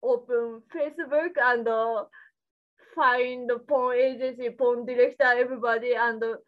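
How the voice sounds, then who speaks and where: A woman from Japan with a Japanese accent, speaking halting, simple English as an interview guest in casual talk, giggly in manner, on dull lo-fi audio. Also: on the high side, fairly even intonation.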